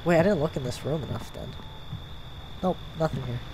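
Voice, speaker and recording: A young man with a stuffy nose, chatting and reacting out loud to scares as he plays a horror game at home. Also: fairly high pitch, a little fast, a little hiss or hum, poor audio. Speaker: gaming YouTuber. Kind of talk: horror game let's play commentary. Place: home gaming room, indoors.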